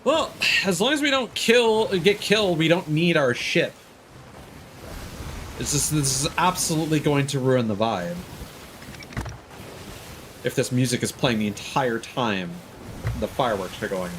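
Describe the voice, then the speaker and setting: A man's voice: mid-range voice, a little slow, long pauses, a bit bright, slight background noise. A man giving casual live commentary as a video game streamer, grumbling and swearing in exasperation as he talks.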